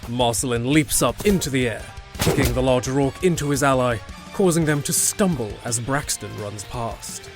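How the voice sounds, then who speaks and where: A man's voice: mid-range voice, moderate pace. A man narrating a scripted fantasy audio drama as a storyteller. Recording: music behind.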